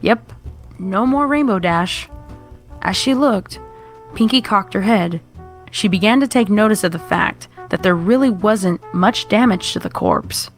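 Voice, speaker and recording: A woman's voice: mid-range voice, unhurried, faint music behind. A woman narrator reading a scripted horror story.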